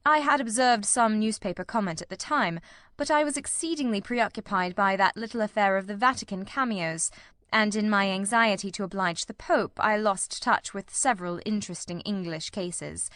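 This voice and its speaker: Gentleman detective, female reader: coolly courteous, precise, mid-register, very dry storybook sound. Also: medium pitch, normal speed, no echo, natural voice. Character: composed, analytical male detective, coolly courteous. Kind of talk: dramatic audiobook dialogue.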